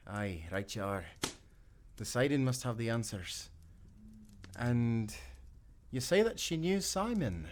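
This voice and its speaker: Wounded Scottish sailor, male actor: loyal, brave castaway, mid-pitched, soft and earnest, for a pirate adventure audio drama. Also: close to an everyday voice. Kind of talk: cinematic pirate audio roleplay acting.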